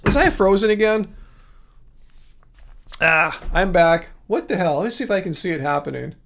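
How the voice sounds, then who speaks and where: A man's voice: sits high, lively intonation, moderate pace, creaky, a bit bright. A man hosting a livestream, chatting casually and rambling as he reads and answers viewer comments, with frequent restarts and chuckles. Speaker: livestream host.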